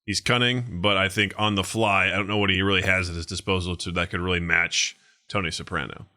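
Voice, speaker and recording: A man's voice: low-pitched, little pitch movement, brisk, pushed and bright. A man guesting on a podcast, chatting casually and playfully. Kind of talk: casual chat.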